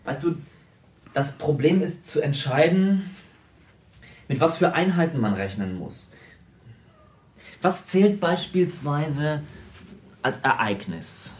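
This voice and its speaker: An actor playing an eccentric, self-absorbed programmer in a radio play, explaining things at length with small hesitations. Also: male voice, fairly high pitch, expressive. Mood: intent, lecturing. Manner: explanatory, lecturing, halting in places. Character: eccentric, self-absorbed American computer programmer, brilliant tinkerer. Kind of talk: naturalistic radio drama dialogue.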